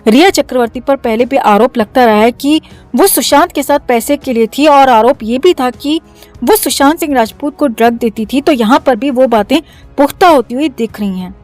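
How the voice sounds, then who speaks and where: A woman's voice: high voice, normal speed, a little mellow. A woman reading a scripted news commentary as a voice-over narrator. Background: background music.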